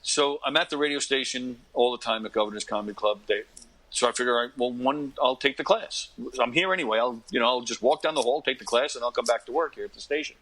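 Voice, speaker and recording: An American man in his fifties, an interview guest, talking casually in a rambling, self-deprecating way and often clearing his throat. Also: medium pitch, fast, fairly bright tone, poor audio. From Long Island, New York, United States. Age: 50s. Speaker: interview guest, actor and comedy performer. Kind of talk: casual conversational storytelling.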